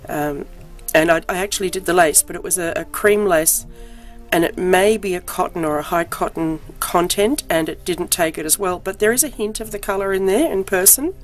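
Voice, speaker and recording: A middle-aged woman with a croaky voice from being unwell, chatting casually about her craft projects with lots of ums. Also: lowish voice, normal speed, faint music behind. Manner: chatty, frequent ums, self-deprecating. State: croaky voice from illness. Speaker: crafting vlogger. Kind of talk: casual show-and-tell.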